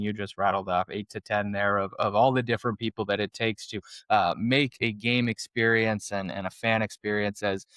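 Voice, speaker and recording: A man's voice: lowish voice, a bit bright, clean tone, dry recording. A man hosting a podcast interview, enthusiastic and affirming as he talks with his guest. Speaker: podcast host. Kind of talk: casual interview chat.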